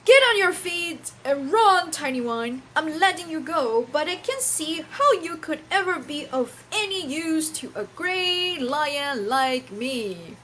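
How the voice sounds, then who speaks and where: A woman's voice: high-pitched, sing-song, slow, few pauses, sharp tone, slight background noise, voice pushed up, heavily acted. A woman voicing a big, proud male lion king from a storybook, commanding and dismissive yet merciful. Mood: commanding, scornful.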